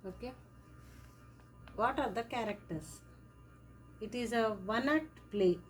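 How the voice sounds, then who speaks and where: A woman teaching a lesson on a play, talking slowly and repeating words and phrases as she writes. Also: fairly high pitch, soft background music. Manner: slow, repeats words and phrases while writing. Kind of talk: classroom lesson explaining a play.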